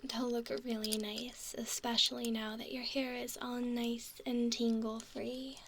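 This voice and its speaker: A woman playing a wry, doting, bossy young mermaid in a whispered, husky ASMR roleplay. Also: a little high, level tone, a little hiss or hum. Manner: unhurried, gently chiding. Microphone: 3Dio binaural microphone, close.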